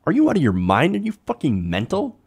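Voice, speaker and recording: A man's voice: on the high side, wildly swooping pitch, fast, vocal fry, a little mellow, slightly rough. An American man hosting opinionated political commentary, speaking emphatically and punctuating his points with rhetorical questions.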